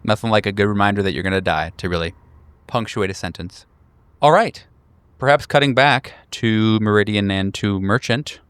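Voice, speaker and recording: A man narrating a horror tabletop game as game master, deliberate, with dramatic pauses. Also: lowish voice, fairly bright tone, clean tone, no room sound.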